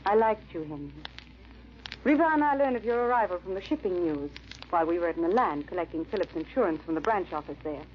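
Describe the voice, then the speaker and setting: An actress playing a cold, proud European countess and murderess in a 1940s-style radio drama. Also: female voice, lowish voice, animated, fast, lightly acted. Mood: cold, resigned. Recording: muffled, noisy old-time radio recording.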